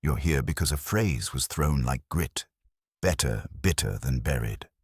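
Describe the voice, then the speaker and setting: A man's voice: extremely low pitch, expressive, a little slow, slightly rough, no echo, studio quality, full character voice. A man voicing an imperious, erudite detective in an audiobook, formal, stern and coolly disdainful.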